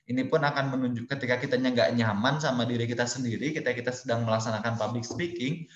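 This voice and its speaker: A man speaking at an ordinary middle pitch walks his listeners through a lesson point by point in an easygoing, friendly way. His voice carries a noticeable room echo. The sound is muffled and lo-fi, typical of an online webinar call. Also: fast. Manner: explains step by step in numbered points; casual, addresses listeners as friends. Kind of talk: webinar lecture. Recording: roomy, dull lo-fi webinar audio.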